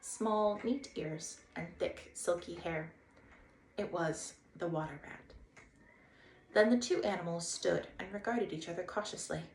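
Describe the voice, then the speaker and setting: A woman narrates a children's story in a roomy, echoing space with faint noise. Her voice sits slightly low and has plenty of creak. She reads expressively, as the host of a read-aloud. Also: measured pace.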